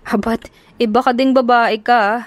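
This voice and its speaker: A woman's voice: a little high, fairly even intonation, normal speed, no room sound, close to an everyday voice. Woman reading a smug young guy, teasing, dry close sound. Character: cocky, teasing young male boss.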